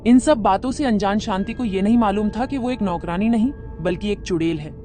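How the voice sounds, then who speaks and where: Storybook narrator over loud music. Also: mid-range voice, fairly quick.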